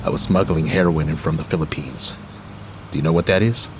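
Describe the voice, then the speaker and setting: An actor playing a streetwise, middle-aged ex-convict uncle in a radio play, rambling, candid and affectionate. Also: male voice, very deep voice, a little fast, noisy background. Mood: candid, reflective. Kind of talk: naturalistic radio play acting.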